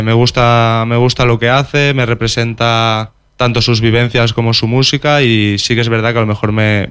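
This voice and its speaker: Young valencian guy, singer, talks thoughtful and a bit halting, repeats himself, smooth clean voice, very dry close sound. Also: mid-range voice, fairly bright tone, no echo. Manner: thoughtful, restarts and repeats words, says "ya te digo" often. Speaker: singer interviewed about his music. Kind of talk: relaxed interview answers.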